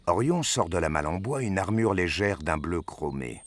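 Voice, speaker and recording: A man's voice: low-pitched, very animated, moderate pace, background noise. A man narrating an audio drama as a scripted storyteller. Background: music.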